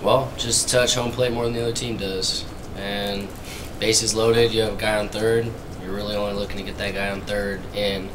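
Low, flat-toned young man at press conference. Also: normal speed, background noise. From Arizona, USA. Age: early 20s. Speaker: college baseball player. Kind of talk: postgame press conference answers. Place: indoor press conference table. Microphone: table microphone.

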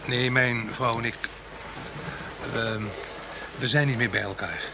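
Man playing nervous polite guy in an old radio play, halting and evasive, lots of noise. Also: mid-range voice, fairly even intonation, natural voice. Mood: guarded, uneasy. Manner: hesitant, halting, stammered starts. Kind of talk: vintage Dutch radio play acting.

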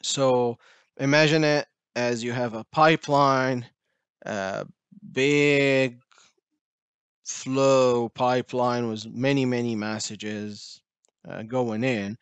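A man's voice: medium pitch, steady tone, slow, smooth, no echo. A man giving a technical talk over slides, explaining step by step with frequent "uh" fillers. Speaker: presenter.

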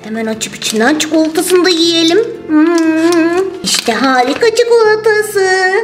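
A woman's voice: extremely high pitch, lively intonation, unhurried. A woman voicing a playful, greedy toy turtle in a children's toy story, exclamatory and sing-song. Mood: gleeful, hungry. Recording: roomy sound with music underneath.

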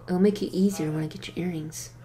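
A woman voicing a devoted, protective young male cat superhero in an audio drama, with earnest, tender, halting delivery. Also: fairly low pitch, fairly even intonation, mellow, natural voice. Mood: reassuring. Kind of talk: fan audio drama acting. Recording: music under the voice.

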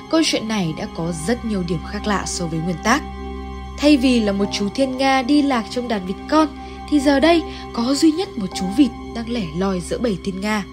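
A woman reads a scripted story recap as narrator. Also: on the high side. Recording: music under the voice. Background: background music.

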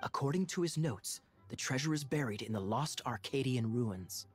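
A man voicing a sly young knight captain who speaks in a smooth, theatrical, playfully mocking way. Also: mid-range voice, expressive, moderate pace, music in the background, lightly acted. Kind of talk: game cutscene dialogue.

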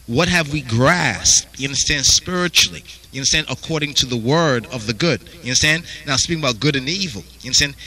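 A man's voice: medium pitch, fairly quick, hardly any pauses, sharp tone, low-quality recording. A man teaching a Bible study, reading verses aloud and asking "you understand?" after nearly every phrase. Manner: repeats "you understand?" constantly.

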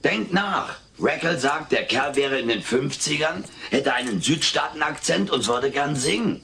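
A cheeky furry alien from a sitcom, bright punchy voice, swoops around, insistent. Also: male voice, on the high side, expressive, unhurried, vocal fry, full character voice. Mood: excited, insistent. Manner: insistent, cheeky, comically earnest. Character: wisecracking, excitable furry alien living with a family. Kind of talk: dubbed sitcom acting in an audio drama. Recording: hissy old cassette recording.

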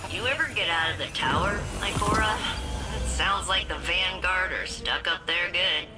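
A woman's voice: on the low side, moderate pace, over loud music, close to an everyday voice. An actress voicing a casual, sarcastic female ship AI in a video game. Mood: wry. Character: casual, wry female AI persona of the same ship.

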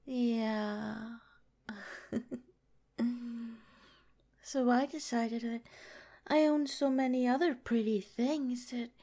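Yandere nurse girlfriend, female performer, ASMR roleplay: mellow, very drawn-out pace, breathy whispers, coy, possessive, sweetly threatening. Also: on the high side. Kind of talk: ASMR roleplay, soft intimate drawn-out delivery.

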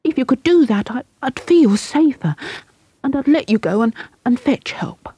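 A woman's voice: high-pitched, expressive, moderate pace, warm tone, husky, no room sound, pitched above the performer's own voice, full character voice. A woman voicing a frightened young boy stuck up a tree, pleading and close to tears.

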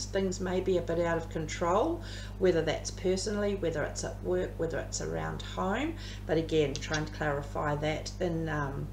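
A woman in her fifties with an Australian accent and a slightly croaky voice, chatting her way through a tarot card reading at home, rambling with plenty of ums. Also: fairly low pitch. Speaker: tarot reader. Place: living room at home. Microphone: camera across the table. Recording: echoey room with background hum.

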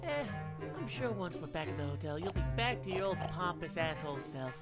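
A woman's voice: on the low side, lively intonation, fairly quick, close to an everyday voice. A woman voicing a flirty, crude male spider demon in a fan comic dub, talking in a teasing way. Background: background music.

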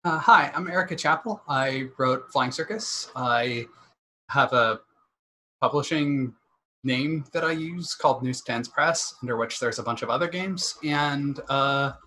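A woman's voice: very deep voice, measured pace, bright, forceful tone. A woman from Montreal, a game designer, answering interview questions over a video call with dry understatement. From Montreal, Canada. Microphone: headset on video call.

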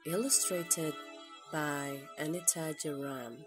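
A woman storyteller reading a children's picture book aloud, with expressive, deliberate phrasing. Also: low voice. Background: music under the reading.

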